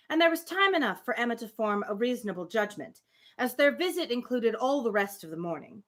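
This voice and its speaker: A woman reading a classic novel aloud on a livestream, with expressive phrasing and casual spoken asides. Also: a little high. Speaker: livestream book reader.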